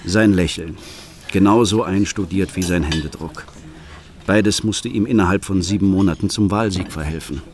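A low-pitched actor as a bitter middle-aged man narrates a radio play in the first person. He is sardonic and contemptuous, sharing his private scorn for those around him. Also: moderate pace, natural voice. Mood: dry scorn.

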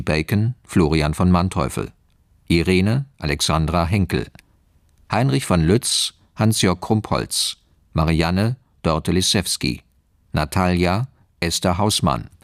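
Slow, low-voiced male radio announcer. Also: dry recording, studio quality. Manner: formal, clearly separated phrases. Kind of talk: scripted credits announcement.

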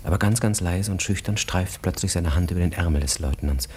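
An actor as narrator of a German radio drama, telling the story in a measured, intimate, expressive literary style. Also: male voice, deep voice, a little hiss or hum, lightly acted. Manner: measured, expressive, savouring details.

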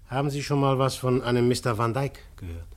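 An actor playing a polite, probing amateur detective in a 1950s radio crime serial. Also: male voice, medium pitch, fairly quick, lightly acted. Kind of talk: 1950s radio drama acting.

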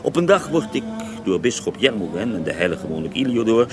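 A man's voice: fairly low pitch, big pitch swings, fairly quick, over music. An actor playing a resentful Russian holy man who recounts his life in a radio drama, with a scornful, theatrical edge. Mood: bitter. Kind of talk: radio drama acting, interview-like narration.

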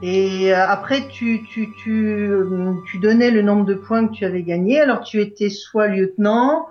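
A woman talks casually in an interview, pausing and restarting as she looks for words. The recording is echoey and dull, with faint music underneath. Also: medium pitch. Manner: halting, searches for words. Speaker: interviewee, club event organiser.

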